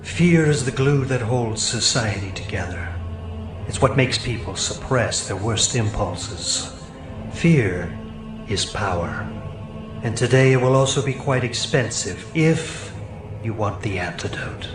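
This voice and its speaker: A man playing a cold, calculating scientist villain with a measured, menacing delivery. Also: mid-range voice, animated, natural voice. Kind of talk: villain character acting. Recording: roomy echo, background music.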